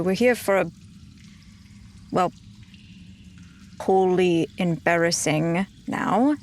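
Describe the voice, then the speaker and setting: A woman playing a tabletop roleplaying game, moving between in-character dialogue and casual table talk. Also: medium pitch.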